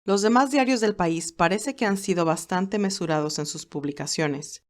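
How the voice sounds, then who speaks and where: A woman voicing a loyal, formal male business aide in an audio story, measured and advising. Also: lowish voice, close to an everyday voice. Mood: concerned, probing.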